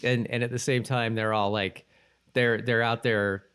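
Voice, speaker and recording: A man's voice: medium pitch, flat delivery, normal speed, a bit bright, clean tone. A man co-hosting a podcast, chatting casually and rambling a bit as he loosely retells scenes and dialogue. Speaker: podcast co-host. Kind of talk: casual conversational recap chat.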